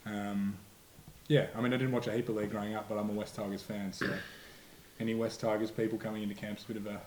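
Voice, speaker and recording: A young Australian man talks quickly in a flat, low voice, answering reporters with easy slang and a matter-of-fact manner. The room is echoey, with faint noise behind. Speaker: rugby player. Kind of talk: press conference answers.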